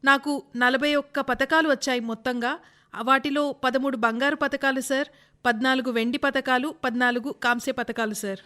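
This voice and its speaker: A woman's voice: high-pitched, fairly even intonation, moderate pace, pushed and bright. A woman voicing a respectful, eager young female athlete in a scripted radio interview, with an earnest, deferential way of answering.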